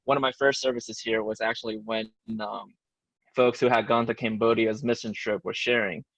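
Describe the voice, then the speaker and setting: A man from Taiwan, raised in the United States, speaks in a low, gravelly voice with a dry, close sound. He tells his story in a reflective, measured way as a guest on a church video-call panel. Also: level tone, fairly bright tone, dry recording, poor audio. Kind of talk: personal testimony in a discussion.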